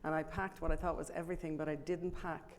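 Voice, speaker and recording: A woman's voice: low-pitched, flat delivery, fast talker. A middle-aged woman with a Dublin Irish accent gives a chatty, self-deprecating conference talk from a podium microphone, with many ums. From Ireland. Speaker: conference presenter. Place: large conference room. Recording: long hall reverb, faint room noise.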